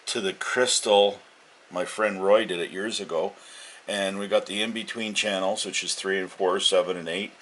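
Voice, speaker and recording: A man's voice: low-pitched, pushed and bright. A man giving a casual, unscripted show-and-tell as a radio hobbyist, plain-spoken and rambling, often correcting himself. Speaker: CB and ham radio hobbyist showing off a collection. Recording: very noisy home-video sound with hiss.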